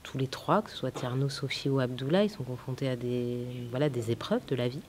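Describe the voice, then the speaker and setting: Réalisatrice hésitante, souffle léger en fond. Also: female voice, rumbling low, a little fast, a little mellow. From France. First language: Italian. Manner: hesitant, many fillers and restarts, thoughtful. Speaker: film director. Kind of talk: festival interview. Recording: faint hiss.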